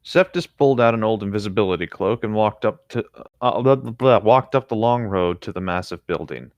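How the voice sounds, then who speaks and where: A man's voice: on the low side, fairly quick, no echo, highs cut off. A man co-hosting a podcast, reading fan fiction aloud casually with easygoing, dryly joking asides.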